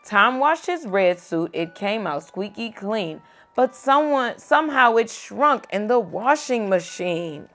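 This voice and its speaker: A young woman reading a picture book aloud to a child at home, gentle and expressive. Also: mid-range voice, slow, soft background music. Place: home bedroom, indoors.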